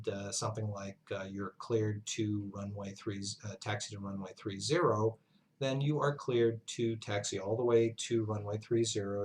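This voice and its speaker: A man giving an instructional lesson as a flight instructor, speaking in a measured, halting way with pauses mid-sentence. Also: lowish voice, slight background noise. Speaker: flight instructor, university lecturer. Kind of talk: instructional narration, explanatory lesson.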